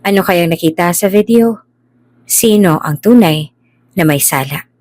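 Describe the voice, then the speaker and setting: A woman's voice: lowish voice, animated, unhurried, darkish tone, no echo. A woman storyteller reading a dramatic scripted narration. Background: music.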